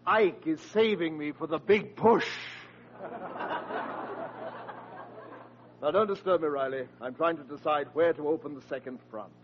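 An actor playing a pompous, shifty older uncle with florid, theatrical delivery in 1940s radio comedy style. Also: male voice, fairly high pitch, fairly quick, a little hiss or hum, close to an everyday voice. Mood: lofty. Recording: muffled husky vintage 1940s radio recording.

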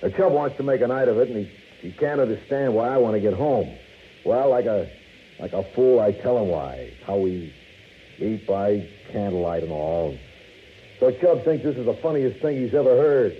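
Actor as a hot-headed American working-class husband, muffled radio. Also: male voice, fairly low pitch, expressive, normal speed, close to an everyday voice. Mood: weary, annoyed. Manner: rough working-class speech with stammered repeats. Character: hot-tempered, devoted young working-class husband, a cement worker. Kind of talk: classic radio drama acting. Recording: muffled old radio recording, faint noise.

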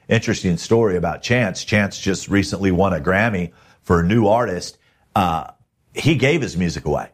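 Deep, husky-voiced man hosting a podcast. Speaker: podcast host, music manager. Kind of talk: motivational podcast talk.

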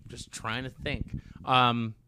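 A man's voice: mid-range voice, level tone, slow, crowd noise. A man co-hosting a podcast in casual, easygoing chat with a joking manner. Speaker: podcast co-host and guitarist.

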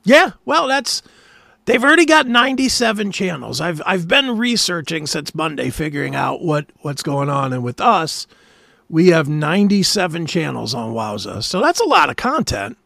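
A man's voice: a little high, sing-song, normal speed, vocal fry, sharp tone, dry recording, clean studio sound. A man hosting a talk show in casual chat, with an informal, enthusiastic manner.

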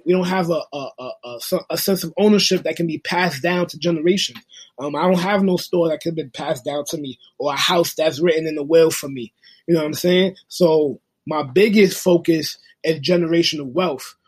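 A young man from New with a husky voice, a podcast guest talking earnestly and motivationally in casual slang. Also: a little high, darkish tone. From New York. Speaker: guest, music industry worker and forex trader.

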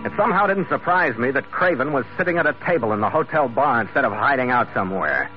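An actor playing a tough, world-weary investigator in an old-time radio noir, with clipped, dry, wisecracking delivery. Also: male voice, mid-range voice, lively intonation, fast talker, close to an everyday voice. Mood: hardboiled narrating. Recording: muffled vintage radio recording, music behind.

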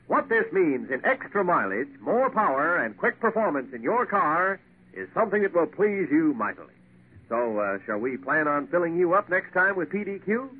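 A folksy American man delivers an old radio sales pitch with emphasis and big swings in pitch. The broadcast sounds thin and phone-like, with a light layer of noise. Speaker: commercial announcer. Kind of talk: 1940s radio advertisement. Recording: tinny, hissy vintage radio broadcast.

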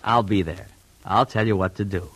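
An actor playing a scheming, manipulative small-time crook in a vintage radio drama, talking in a casual, coaxing, controlled manner. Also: male voice, low-pitched, steady tone, moderate pace, fairly bright tone, breathy edge, heavily acted, low-quality recording. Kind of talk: 1950s radio drama acting.

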